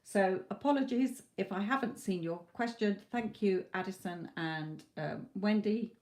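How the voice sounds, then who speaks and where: A woman giving live tarot readings to viewers, talking reassuringly, her voice going a little hoarse from hours of talking. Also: mid-range voice, unhurried, darkish tone. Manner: reassuring, calls viewers "my lovely". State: losing her voice, a bit hoarse. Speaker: tarot reader.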